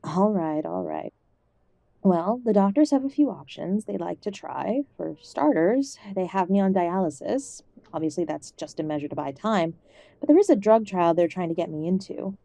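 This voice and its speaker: Give the part to an affectionate, ailing older mother played by a woman in an audio drama. Her voice is soft-edged and mellow, recorded close and dry. She fusses gently, old-fashioned and stubbornly hopeful. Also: fairly low pitch, expressive, moderate pace, creaky, dry recording. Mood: measured, serious. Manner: gentle, fussing, uses endearments.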